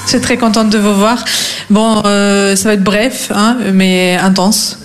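A woman with a Russian accent, a native Russian speaker, performing a live stand-up set with dry, teasing pauses. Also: mid-range voice, fairly even intonation, soft background music. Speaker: stand-up comedian. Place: comedy stage before an audience. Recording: echoey venue with loud crowd.

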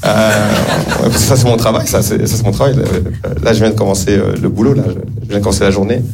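A man's voice: fairly low pitch, steady tone, fast talker, vocal fry, background noise. A man doing a stand-up set, self-mocking, with frequent hesitations. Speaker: stand-up comedian.

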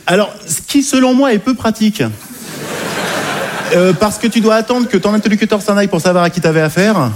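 A man performing conversational stand-up comedy to an audience, animated, with hesitant asides and tag questions. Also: high voice, fairly quick, noisy background.

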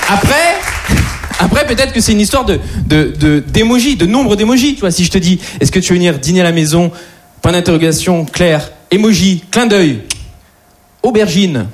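High-voiced, lively male stand-up comic. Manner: animated, addresses audience directly. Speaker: stand-up comedian. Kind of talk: stand-up routine. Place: comedy stage before audience. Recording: live show with loud crowd and noise.

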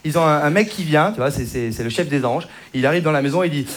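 A man performing a quick, mocking stand-up set in a comedy club, slangy and acting out characters. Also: on the high side, expressive, heavy background noise. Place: comedy club stage with audience. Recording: live club with loud crowd laughter.

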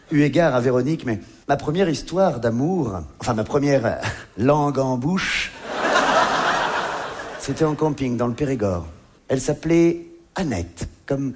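A man performing a comic monologue, conversational and mock-earnest, often interrupting himself as he tells his story. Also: medium pitch. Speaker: stand-up comedian. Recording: clear background noise.

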